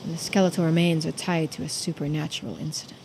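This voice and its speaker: A woman voicing a diligent assistant investigator in a mystery game, giving formal, measured reports. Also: low-pitched, level tone, darkish tone, a little hiss or hum, voice pushed down, low-quality recording. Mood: formal, serious. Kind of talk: voiced visual-novel dialogue.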